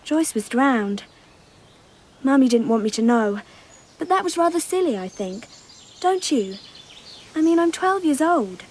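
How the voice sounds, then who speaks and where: High-voiced, dreamy English girl of twelve. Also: lively intonation, unhurried, long pauses, darkish tone. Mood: matter-of-fact, eerie. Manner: matter-of-fact, innocent, candid. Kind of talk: broadcast radio drama acting.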